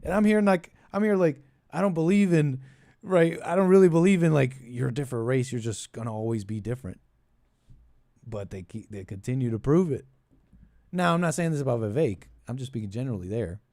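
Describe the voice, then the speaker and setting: Guy hosting a talk podcast, mid pitched voice, rambles and repeats himself, dry sound. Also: a little mellow, no room sound. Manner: halting, repetitive, meandering. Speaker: podcast and call-in show host. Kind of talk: rambling casual political commentary.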